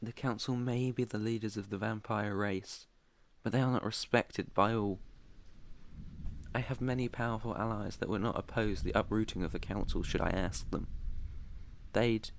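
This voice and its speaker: A man's voice: on the low side, fairly even intonation, normal speed, a little hiss or hum, natural voice. A British man playing an ancient vampire master in an ASMR roleplay, proud, protective and tender, with an earnest, slightly formal way of talking. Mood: resolute. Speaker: roleplay voice performer.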